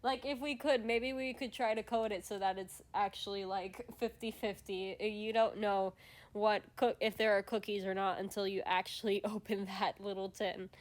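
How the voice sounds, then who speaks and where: A woman with a stuffy, sniffly nose chats loosely on a livestream, circling back through fillers and restarts as she thinks out loud. Her pitch sits at an ordinary middle height, with no put-on voice. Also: steady tone, moderate pace. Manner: rambling, many restarts and fillers, laughs often. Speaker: streamer host. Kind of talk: casual livestream chat while drawing.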